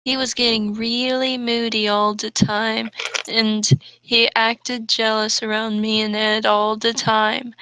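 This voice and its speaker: A young woman performs a comic read-aloud of amateur fiction. Her voice is fairly high and bright, and she goes very slowly and deliberately, mixing narration with casual banter. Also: flat delivery, clean tone, no echo, rough recording. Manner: deliberate, stumbles over misspellings read as written. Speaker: host and reader.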